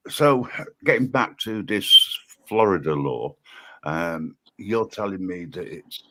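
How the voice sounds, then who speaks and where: Deep-voiced male host, halting, restart-heavy delivery, very low register. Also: a bit bright. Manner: hesitant, many ums and restarts. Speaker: livestream host. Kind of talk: casual livestream chat.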